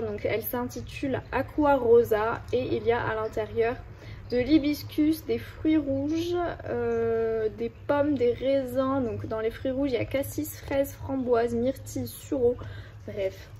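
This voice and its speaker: A woman with a cold talks casually to her viewers, her voice hoarse and stuffy. It sits fairly high yet sounds soft and mellow, and she rambles with frequent hesitations. A faint hum of ambient noise sits behind her. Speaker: book vlogger. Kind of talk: casual chatty vlog.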